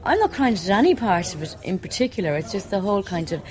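A woman's voice: medium pitch, big pitch swings, quick pace, darkish tone. A chatty Irish woman in her thirties from Dublin, telling stories animatedly and laughing often. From Ireland, Dublin. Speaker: wife moving house. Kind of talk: casual chat.

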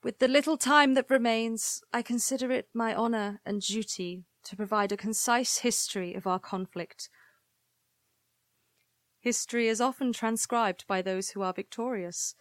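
A woman's voice: on the high side, fairly even intonation, normal speed, no echo, clean studio sound, natural voice. A woman acting as a dutiful historian of a besieged nation, giving a grave, formal, eloquent account in an audio drama. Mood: solemn, foreboding.

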